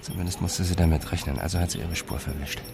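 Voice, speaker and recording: An actor playing an irritable, intense male software developer in a radio drama, impatient and emphatic. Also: low voice, fairly even intonation, quick pace, creaky. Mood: irritated, insistent. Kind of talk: scripted radio drama acting. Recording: music behind the voice.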